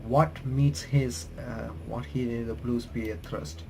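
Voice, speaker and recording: A man hosts a game playthrough, reading narrative text aloud and dropping in casual comments. His pitch is average for a man and his reading is halting, with stumbles and restarts. Faint game music plays behind him. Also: steady tone, darkish tone. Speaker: playthrough host reading and reacting to a visual novel.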